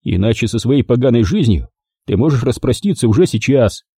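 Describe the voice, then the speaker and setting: A man's voice: mid-range voice, lively intonation, brisk, dark tone, breathy edge, no room sound, clean studio sound. A man voicing a stern, sardonic fighter giving wry commands in an audiobook story. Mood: stern ultimatum.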